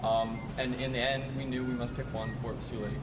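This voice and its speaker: A man's voice: medium pitch, level tone, quick pace, close to an everyday voice. A man playing an earnest, resolute colonial statesman, giving formally worded, scripted interview answers. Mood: matter-of-fact. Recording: noisy amateur outdoor recording, traffic.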